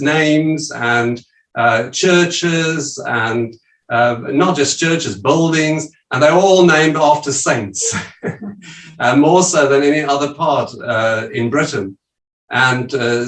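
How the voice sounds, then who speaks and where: A man's voice: medium pitch, fairly bright tone, echoey room, distant mic, poor audio. A South African man presenting to a church group over a video call, speaking hesitantly with many fillers. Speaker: visiting church leader presenting. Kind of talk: casual talk on a video call.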